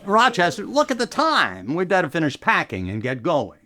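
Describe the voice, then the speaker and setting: A man's voice: on the high side, huge pitch swings, normal speed, bright, forceful tone, exaggerated voice. A man playing a vain, stingy, easily exasperated middle-aged comedian in an old-time radio comedy. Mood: fussy.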